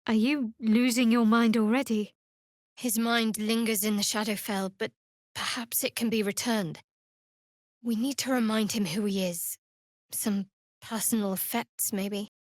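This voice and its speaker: Woman acting as young female dark cleric, fantasy video game, guarded, sardonic, devout, unhurried, lightly high-pitched. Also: fairly even intonation, no echo, clean studio sound, natural voice. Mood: cool, measured.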